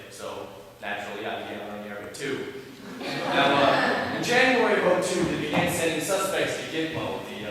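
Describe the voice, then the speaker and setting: A man's voice: mid-range voice, close to an everyday voice. A man playing an earnest young army sergeant, telling his story plainly with "uh" fillers, recorded from far off in a large recital hall. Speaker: reader's theatre actor. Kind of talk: stage monologue. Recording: echoey hall from far off, background noise.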